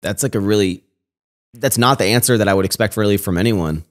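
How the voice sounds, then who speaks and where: Low-voiced man hosting a casual podcast. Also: fairly quick. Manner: conversational, acts out quoted dialogue, many "like". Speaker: podcast host. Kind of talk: casual interview chat. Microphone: studio mic with headphones.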